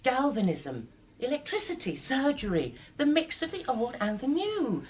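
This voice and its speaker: A woman playing a passionate, excitable young writer in a period radio drama, earnest and emphatic, performing on a live stage. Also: high-pitched, normal speed, a little hiss or hum. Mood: intense, earnest.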